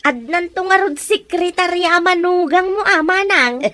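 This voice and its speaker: A woman's voice: very high-pitched, a little slow, pushed and bright, no echo, full character voice. A woman playing a gossipy shopkeeper neighbor. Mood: teasing. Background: background music.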